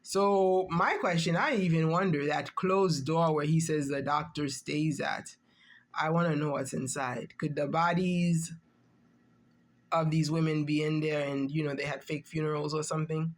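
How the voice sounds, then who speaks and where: A woman's voice: sits low, steady tone, moderate pace. A woman giving a reaction-channel commentary monologue, emphatic and rhetorical, often checking in with the listener.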